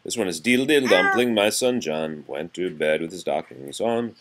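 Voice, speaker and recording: Male reader, low-pitched, parent at storytime: sing-song rhymes broken up by informal asides and explanations for a young child. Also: lively intonation, moderate pace, a bit bright, a little hiss or hum. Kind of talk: read-aloud of nursery rhymes with casual chat to a child.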